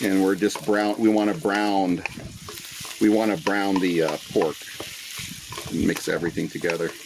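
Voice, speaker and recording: A man in his forties, born in the Philippines, chatting casually through a cooking vlog on his windy front patio. He uses a phone and Bluetooth earbuds, drops in Tagalog phrases, and fills pauses with "uh". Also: sits low, creaky. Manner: relaxed and chatty, with many uh fillers and Tagalog phrases mixed in. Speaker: cooking channel host. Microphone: Bluetooth earbuds with a cell phone. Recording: noisy outdoor phone recording with wind and sizzling. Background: sizzling and stirring in a wok.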